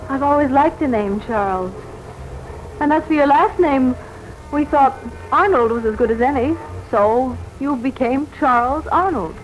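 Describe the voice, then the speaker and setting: An actress playing an anxious, devoted American wife and former nurse in a 1940s radio drama, speaking earnestly and pleadingly. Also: female voice, sits high, measured pace, soft background music. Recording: muffled, slightly noisy 1940s radio recording.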